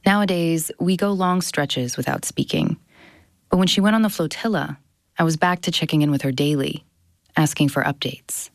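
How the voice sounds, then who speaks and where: A woman's voice: deep voice, moderate pace, no echo, studio quality. A woman, around her thirties, narrating a scripted audio documentary as its host. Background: music bed.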